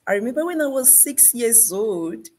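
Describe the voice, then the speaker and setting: A woman's voice: fairly high pitch, lively intonation, moderate pace, a little mellow. A middle-aged woman with a Nigerian accent, speaking as an interview guest on a video call. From Nigeria, Benin City. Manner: laughs easily while talking. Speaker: guest, fashion designer. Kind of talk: interview storytelling. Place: indoor fashion showroom with mannequins.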